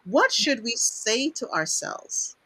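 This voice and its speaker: Bright-voiced Trinidadian woman host. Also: a little high, sing-song, slow, low-quality recording. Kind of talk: casual interview talk.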